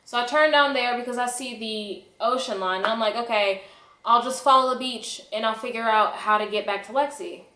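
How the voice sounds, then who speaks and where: A woman's voice: a little high, brisk, bright, forceful tone. A young woman telling a personal story in a casual vlog style, with a dramatic, emphatic, self-mocking delivery. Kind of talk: animated casual storytelling to camera. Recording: echoey, roomy sound.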